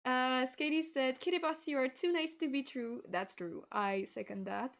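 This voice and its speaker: A young woman hosting a casual livestream chat, reading viewer comments, halting and giggly. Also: sits high. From France. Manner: giggly, halting, restarts often. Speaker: VTuber streamer hosting.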